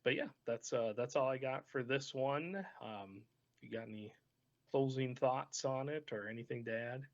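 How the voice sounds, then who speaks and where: A man's voice: mid-range voice, moderate pace. A man casually talking through a card game deck as a channel host, in an easygoing, colloquial way. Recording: dull, lo-fi voice track.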